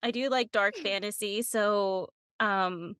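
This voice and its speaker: A woman's voice: medium pitch, slow, a bit bright, smooth, no room sound. Young-sounding female interview guest, informal and giggly, very dry close recording. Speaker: audiobook narrator, podcast guest. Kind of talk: casual conversational interview.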